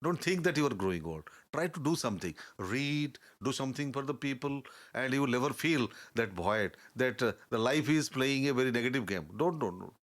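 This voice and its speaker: An older man, a guest telling stories in a halting, earnest way, often pausing to search for words. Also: medium pitch, animated, a bit bright. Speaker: interview guest. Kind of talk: reflective storytelling in conversation.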